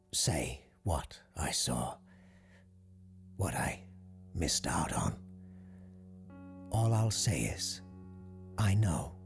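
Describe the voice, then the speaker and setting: A man voicing a regretful woman giving halting, confessional testimony about her past in an audio drama. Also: extremely low pitch, creaky, pitched below the performer's own voice, heavily acted. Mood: haunted regret. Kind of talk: quoted testimony within audio drama narration.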